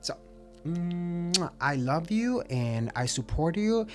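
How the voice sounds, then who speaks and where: A man's voice: fairly high pitch, big pitch swings, slow, a little mellow, faint music behind. A man talking openly to his camera in a casual vlog, reflective and encouraging, with an occasional stutter and restarts. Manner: open, reflective, self-encouraging. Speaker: vlogger.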